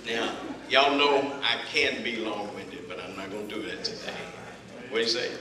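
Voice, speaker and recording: Southern preacher from Texas in an echoey church. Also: male voice, moderate pace, far from the mic, crowd noise. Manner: folksy, builds from low asides to loud emphatic listing. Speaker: guest preacher. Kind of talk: sermon with humorous storytelling. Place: church sanctuary, walking in front of the pulpit. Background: congregation laughing and responding.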